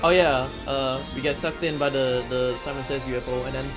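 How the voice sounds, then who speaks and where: A speedrunner from Singapore giving casual, rambling live game commentary in an excitable, giggly manner. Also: medium pitch, fairly quick, loud music behind the voice.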